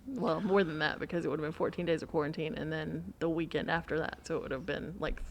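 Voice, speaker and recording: A woman's voice: sits low, fairly even intonation, fast, a little hiss or hum. A middle-aged American woman chatting casually and telling anecdotes as a podcast co-host. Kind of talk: casual chat, anecdotal storytelling.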